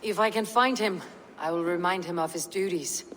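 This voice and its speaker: An actress playing a stern, weary young Viking warrior leader in a video game, speaking bluntly and solemnly. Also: female voice, fairly low pitch, normal speed, a bit bright, a little hiss or hum, lightly acted. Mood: firm, dry. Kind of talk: video game cutscene acting.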